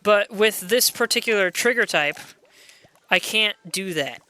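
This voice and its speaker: A young adult game streamer with a loud voice, chatting casually and excitably while playing and greeting viewers. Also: medium pitch, unhurried. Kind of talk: casual live gameplay chat.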